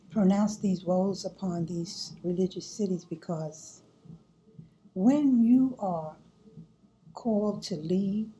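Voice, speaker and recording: An older woman preaching from home into a close webcam, with a very dark, mellow voice. She talks slowly and deliberately, pausing often to gather her thoughts. A faint hiss sits under her voice. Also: medium pitch, poor audio. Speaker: church speaker. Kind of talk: sermon. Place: home room, indoors. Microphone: webcam at close range.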